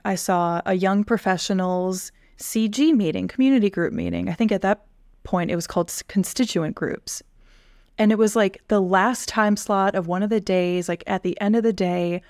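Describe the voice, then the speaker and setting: A woman in her thirties tells a personal story as a guest on a video call, speaking from a bedroom at home into a desk mic. Her pitch sits in the middle, and she talks in a lively, conversational way with quick asides. The recording is dry with no room sound. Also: no room sound. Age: 30s. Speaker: guest, relationship manager. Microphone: headphones with a desk mic on a video call.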